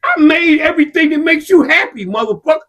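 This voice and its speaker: A man in heated casual discussion, impassioned and profane, his voice rising to shouts. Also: extremely high pitch, lively intonation, fast talker. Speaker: podcast co-host.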